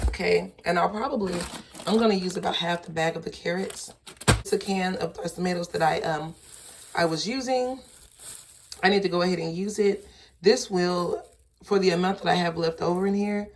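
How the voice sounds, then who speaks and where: Hoarse woman cooking at home. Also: a little hiss or hum. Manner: tired, low-key, chatty with fillers. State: hoarse, lost voice from sinus infection. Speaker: home cook vlogger. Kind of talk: casual cooking demonstration. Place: home kitchen. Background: sizzling and frying in a pan.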